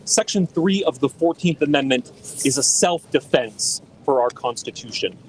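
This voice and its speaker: A man's voice: medium pitch, expressive, a little hiss or hum, rough recording. Formal man reading a statement. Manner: formal, measured. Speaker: lawyer or spokesman at a press conference. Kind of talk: prepared press statement. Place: outdoors on a city street.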